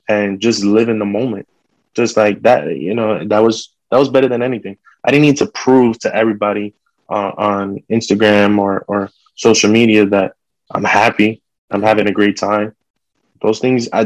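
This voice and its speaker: Man, low-pitched voice, informal slangy talk, casual podcast chat, dry close sound. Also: no room sound, poor audio. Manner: informal, uses street slang. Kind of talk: casual conversation.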